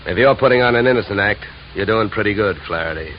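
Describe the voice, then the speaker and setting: Man playing hardboiled detective, low voice, wisecracking, clipped, classic radio crime drama, noisy old recording. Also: quick pace, dull audio, natural voice. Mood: sardonic. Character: cool, wisecracking tough male private detective. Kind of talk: 1940s-50s radio detective acting.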